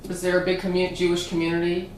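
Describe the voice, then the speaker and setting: A woman conducting an oral history interview, gently prompting and often rephrasing her questions. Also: lowish voice, completely flat delivery, quick pace. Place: room at a community center. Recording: echoey room, distant mic, faint hiss.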